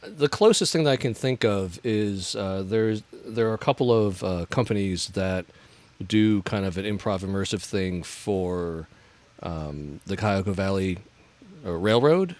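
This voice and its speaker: A man's voice: sits low, unhurried, darkish tone. A man hosting a podcast, talking casually with lots of fillers and hesitation.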